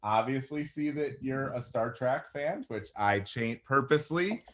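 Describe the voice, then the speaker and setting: A man's voice: medium pitch, lively intonation, a little slow, fairly bright tone. A man co-hosting a webcast in casual, conversational chat. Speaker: webcast co-host.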